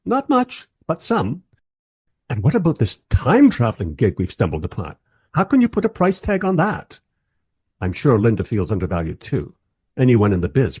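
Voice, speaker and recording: A husky, mid-pitched voice for a wry documentary director, played by a man in a radio play. His intonation moves around a lot as he shifts between sardonic narration and smooth placating talk. The sound is close and dry. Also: darkish tone, no room sound. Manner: conversational, dryly sardonic, diplomatic. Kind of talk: first-person radio play narration and dialogue.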